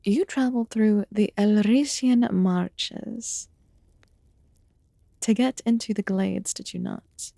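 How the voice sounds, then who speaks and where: A woman playing an ancient, aloof fae in a fantasy ASMR roleplay, murmuring softly and formally with some whispered phrases. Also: medium pitch, fairly even intonation, creaky, no room sound, clean studio sound. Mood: amused, patient. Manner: slow, deliberate, archaic formal wording. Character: ancient aloof female fae recluse, haughty yet quietly caring.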